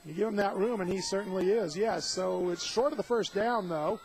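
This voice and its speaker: A man's voice: high-pitched, normal speed, a little mellow. An American man calling live play-by-play of a football game for radio. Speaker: play-by-play commentator. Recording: noisy outdoor stadium radio broadcast.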